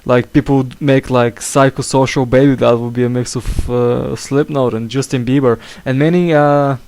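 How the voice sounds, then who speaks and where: A man's voice: mid-range voice, fairly even intonation, moderate pace, a little mellow. Hesitant young man hosting a podcast. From England. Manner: hesitant, full of fillers and restarts. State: slight cough. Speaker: podcast host. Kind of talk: casual chat.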